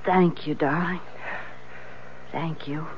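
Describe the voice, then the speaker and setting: An actress playing a frail, anxious young wife with a plaintive manner in a 1970s radio drama. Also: female voice, deep voice, fairly even intonation, slow pace. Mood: frail, grateful. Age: young adult. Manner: plaintive, pleading. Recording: dull, noisy old radio recording.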